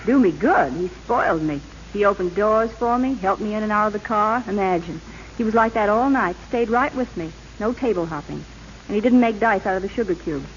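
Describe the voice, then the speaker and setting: A woman's voice: mid-range voice, expressive, a little fast, close to an everyday voice. An actress playing a wistful, sincere young woman in an old radio drama, with earnest theatrical delivery on a muffled, hissy recording. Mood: pointedly gushing. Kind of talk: 1930s-40s radio drama acting.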